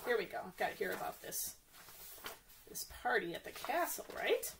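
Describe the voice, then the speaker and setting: A woman doing a children's story time, animated and sing-song, speaking straight to young listeners and asking them questions. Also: mid-range voice, moderate pace, creaky, noisy background. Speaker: children's librarian reading stories to kids.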